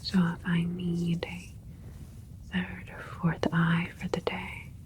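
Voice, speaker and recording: A woman playing a gentle alien scientist doctor in a whispered ASMR sci-fi roleplay, speaking soothingly in a breathy voice with unhurried, halting phrases. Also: lowish voice, monotone, background noise. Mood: calm, grateful.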